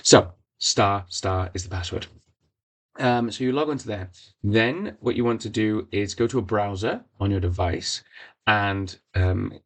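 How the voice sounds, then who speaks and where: A man's voice: fairly low pitch, creaky. A man whose first language is Welsh, giving a rambling, casual walkthrough of his own device, with many fillers and restarts. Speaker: device maker.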